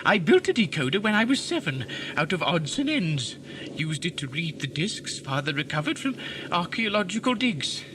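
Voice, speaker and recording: A man's voice: high-pitched, sing-song, normal speed, noisy background. A man playing a troubled, self-deprecating composer in an audio drama, speaking hesitantly with stammers. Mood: nostalgic, eager. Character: troubled male composer, nervy, self-deprecating, haunted by guilt.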